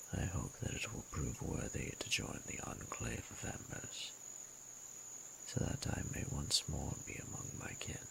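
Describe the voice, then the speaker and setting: Guy doing an ancient dragon lord for romance roleplay, really low n gravelly, breathy, courtly teasing, faint crickets behind. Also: a bit bright, a little hiss or hum, exaggerated voice, rough recording. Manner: formal, archaic, teasing and courtly. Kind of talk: romantic audio roleplay, intimate slow monologue.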